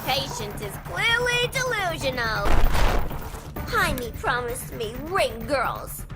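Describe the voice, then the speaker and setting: Playful crazy villainess, very high swooping pitch, slangy, sassy, game medium. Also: female voice, big pitch swings, takes it slowly, exaggerated voice. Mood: teasing. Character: crazy, playful, mischievous young female antihero. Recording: game audio under loud music.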